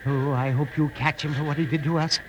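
Man playing excitable, high-pitched old swindled prospector. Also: a little fast, lo-fi sound, close to an everyday voice. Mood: excited. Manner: stammering, flustered, repeats himself. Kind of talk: 1950s western radio acting. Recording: noisy, crackly old radio recording.

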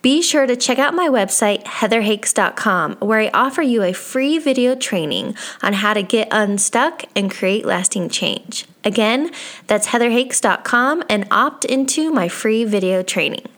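A woman's voice: on the high side, expressive, measured pace, fairly bright tone. A woman hosting a motivational podcast, reading an upbeat intro and asking her guest questions. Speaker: podcast host. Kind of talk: scripted intro, then interview questions.

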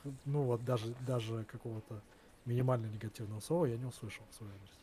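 A man's voice: medium pitch, fairly even intonation, fast, dark tone. Rambling man with faint background hiss. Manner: rambling, hesitant, lots of fillers. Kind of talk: casual chat.

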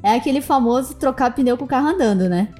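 A woman's voice: high-pitched, animated, quick pace, a little mellow, faint music behind. A woman hosting a casual podcast interview, asking questions with upbeat, enthusiastic interjections. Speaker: podcast host.

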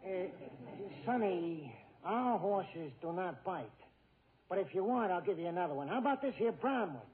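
A plain-spoken stable keeper with a very high voice. His talk is folksy and colloquial, meant to reassure customers in a radio comedy. The recording is a dull, narrow 1940s broadcast. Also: expressive, a little slow. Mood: reassuring, insistent.

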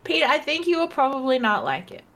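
A woman's voice: high-pitched, big pitch swings, brisk, a bit bright. A woman co-hosting a podcast in casual chat, rambling with lots of fillers and giggles, tipsy and socially drained. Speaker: podcast co-host.

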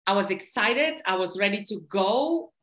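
Woman, Bulgarian accent, enthusiastic, mid pitch, echoey room. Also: lively intonation, moderate pace, a bit bright. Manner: enthusiastic, laughs often. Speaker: guest, body-mind coach. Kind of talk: podcast interview.

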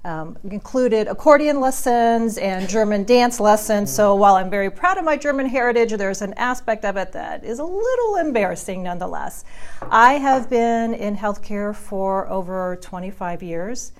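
A woman's voice: mid-range voice, expressive, moderate pace, slight background noise. A middle-aged woman from Ohio, a hospital president, introducing herself fluently in an interview.

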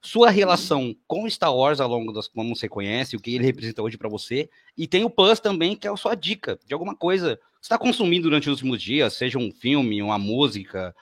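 A man's voice: medium pitch, sing-song, fast, few pauses. Chatty Brazilian man hosting a podcast. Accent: São Paulo Brazilian. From Guarulhos, Brazil. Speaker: podcast host.

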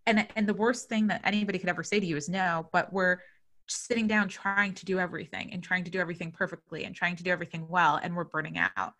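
A woman in her thirties talking as an interview guest, chatty and animated as she tells stories in a casual conversation. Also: lowish voice, fast. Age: 30s.

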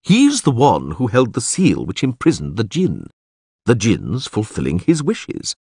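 A man voicing a grave, knowing male character in an audiobook, who explains and warns in a measured, ominous way. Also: lowish voice, wildly swooping pitch, mellow, husky, no echo, studio quality, full character voice.

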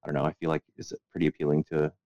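A man's voice: rumbling low, monotone, racing, warm tone, dry recording, muffled recording. A man co-hosting a casual podcast in a laid-back, hesitant way, often hedging what he says. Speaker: podcast co-host.

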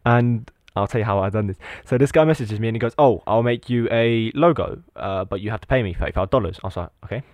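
A man's voice: on the low side, a little mellow, no room sound. Casual late-teen guy, dry close sound. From Lithuania, living in England. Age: 17, late teens. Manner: informal, halting, repeats himself. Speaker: podcast guest, meme page owner. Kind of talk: casual chat, informal storytelling.

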